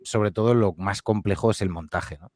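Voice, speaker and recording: Deep-voiced guy talking fast, dry close sound, lots of ehs and restarts. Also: a little mellow, no echo. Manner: hesitant, many fillers and restarts, friendly. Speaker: podcast creator and guest. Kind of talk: relaxed interview answers. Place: home studio.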